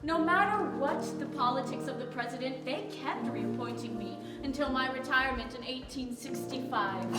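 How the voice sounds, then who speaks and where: A woman plays a proud, determined frontier widow who tells her story with steady resolve. Her voice is high and comes from well back on a roomy stage. Loud music plays behind her. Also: distant mic. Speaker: stage actor in a historical monologue. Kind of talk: theatrical monologue.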